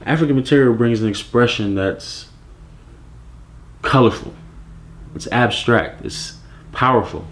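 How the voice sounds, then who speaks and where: A man from Nigeria, raised in the United States, telling his personal story as a clothing brand founder, reflective and relaxed with frequent fillers and restarts. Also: medium pitch, measured pace, long pauses, a little hiss or hum.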